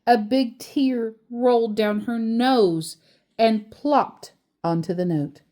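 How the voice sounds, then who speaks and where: A woman reading a children's picture book aloud as storyteller, expressive and deliberate, with casual asides. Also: fairly high pitch.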